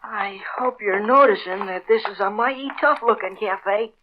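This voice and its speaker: A woman's voice: medium pitch, moderate pace, background noise, natural voice. A woman playing a wary young boy, a loyal but doubting sidekick in a 1940s radio serial, questioning in boyish slang. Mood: doubtful, puzzled. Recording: dull lo-fi old radio recording.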